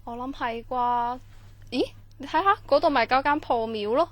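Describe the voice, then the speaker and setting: A woman plays a timid young student at camp in a radio drama. Her voice is a touch high. She talks casually but sounds uneasy. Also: moderate pace, lightly acted. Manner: worried, casual youthful talk. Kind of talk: Cantonese radio drama acting.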